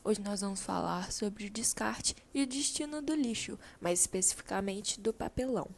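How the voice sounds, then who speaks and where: A woman's voice: medium pitch, normal speed, vocal fry, heavy background noise, lightly acted. A woman playing a kindly Brazilian schoolteacher in a scripted amateur radio drama, didactic and encouraging. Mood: instructive. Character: female schoolteacher, kindly, guiding a classroom discussion.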